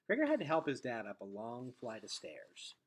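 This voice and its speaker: A man reading a storybook aloud expressively, with dramatic delivery that drops into hushed, breathy tones. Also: mid-range voice, huge pitch swings, moderate pace. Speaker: teacher reading aloud to students.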